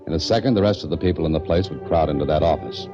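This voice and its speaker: An actor playing a tough, wry, framed hero who speaks tersely in a 1940s radio mystery. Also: male voice, extremely low pitch, racing, music in the background. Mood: tense narrating. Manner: terse, hard-boiled, urgent in dialogue. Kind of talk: 1940s radio mystery acting and first-person narration. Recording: dull vintage 1940s radio recording.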